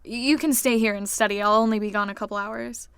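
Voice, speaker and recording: A woman's voice: fairly high pitch, steady tone, fast talker, fairly bright tone, smooth, no room sound. A woman acting as an exasperated, sardonic young woman in an audio drama, with a dry, casual way of talking. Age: young adult.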